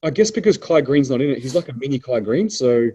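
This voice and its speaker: A man's voice: medium pitch, animated, fast, mellow, breathy edge. A man in his mid-thirties from Melbourne with an Australian accent, hosting a podcast and reading out listener questions in easygoing chat. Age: 30s. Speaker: prep coach podcast host reading questions.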